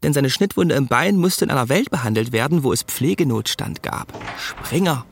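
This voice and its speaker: A man's voice: mid-range voice, lively intonation, moderate pace, creaky, no room sound. A man playing a cocky young small-time crook who narrates his own radio drama story, breezy, boastful and wry.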